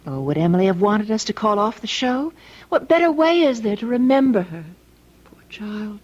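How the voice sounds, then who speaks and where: An actress playing a breezy, chatty, teasing young sweetheart in an old-time radio mystery. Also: female voice, mid-range voice, big pitch swings, normal speed, a little mellow. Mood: gentle, concerned. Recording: dull old radio recording.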